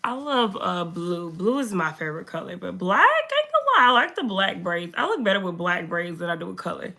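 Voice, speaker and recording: A young woman with a hoarse voice chatting casually with her viewers while reading their comments. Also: wildly swooping pitch, brisk, bright, forceful tone. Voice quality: low, slightly deep voice. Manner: chatty, talks to viewers, drifts off topic. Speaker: makeup streamer.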